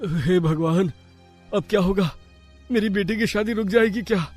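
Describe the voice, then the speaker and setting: A man's voice: high voice, normal speed, darkish tone, soft background music. A man playing a humble middle-aged father, anxious and pleading, in a dramatic story. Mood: distressed. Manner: emotional, pleading. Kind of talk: dramatic Hindi animated story acting.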